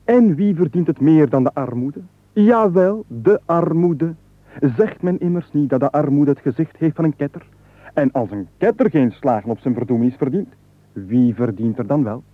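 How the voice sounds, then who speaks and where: An actor playing a penniless, wily squire and unstoppable babbler in a comic radio play, with flowery, wordy, mock-deferential speech. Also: male voice, fairly high pitch, lively intonation, normal speed, no echo. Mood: glib, rambling. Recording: muffled narrow-band 1980s radio recording.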